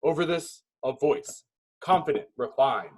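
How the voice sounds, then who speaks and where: A man with a fairly high, husky voice narrates a screenplay. He reads the stage directions dramatically, as scripted narration. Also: expressive, measured pace, darkish tone, low-quality recording.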